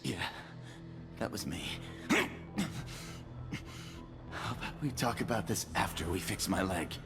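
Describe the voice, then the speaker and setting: An actor playing an irritable, defensive medical technician in a video game, argumentative and self-preserving. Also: male voice, low voice, a little slow, creaky, long reverb tail, music in the background. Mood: pained, grudging. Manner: testy, argumentative. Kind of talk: dramatic video game dialogue.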